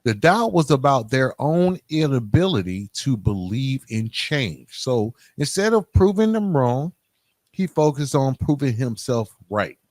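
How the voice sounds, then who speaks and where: A man hosting a podcast, telling a motivational story emphatically with dramatic pauses. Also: medium pitch, very animated, a little mellow, dry recording. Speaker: podcast host.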